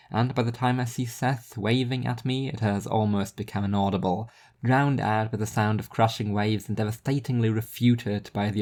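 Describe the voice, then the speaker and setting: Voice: medium pitch, darkish tone. A narrator reading scripted first-person literary fiction in an introspective, wry manner.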